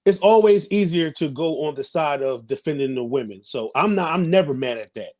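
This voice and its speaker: A man in his thirties giving opinions in a casual interview, halting and repeating phrases as he builds his point. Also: a little high, animated, a little mellow. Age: 30s. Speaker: interview guest.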